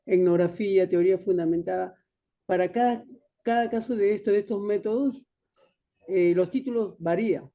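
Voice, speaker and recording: Hesitant student with a very dark voice. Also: medium pitch, normal speed. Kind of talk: class question. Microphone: video call.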